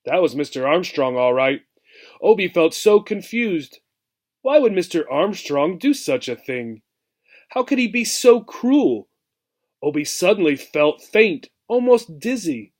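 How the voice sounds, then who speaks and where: A man reading a children's book aloud expressively, as a teacher would, pausing to explain. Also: sits high, very animated. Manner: explanatory, checks in with "right".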